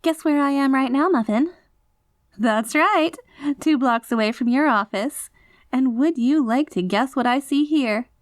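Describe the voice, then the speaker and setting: A woman's voice: sits high, unhurried, no room sound, studio quality. A woman playing an obsessive, possessive girlfriend in a scripted audio roleplay, theatrical and sugary with an edge of threat. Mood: mocking, menacing. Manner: saccharine pet names laced with threats, theatrical. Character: obsessive possessive yandere girlfriend, sweetly menacing, long reformed, unraveling. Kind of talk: scripted ASMR roleplay audio drama, voicemail monologue.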